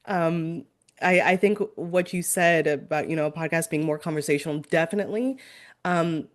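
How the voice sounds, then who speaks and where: Woman host, low-ish voice, easygoing thoughtful interviewer, ums between ideas. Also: moderate pace. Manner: agreeable, thoughtful, with filler ums. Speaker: podcast interviewer. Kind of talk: casual interview chat.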